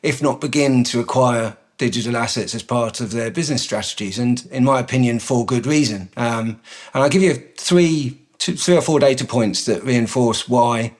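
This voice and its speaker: Man explaining with measured, halting delivery. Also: medium pitch. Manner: measured, data-heavy, frequent ums and restarts. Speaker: company executive director. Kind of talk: business interview answers.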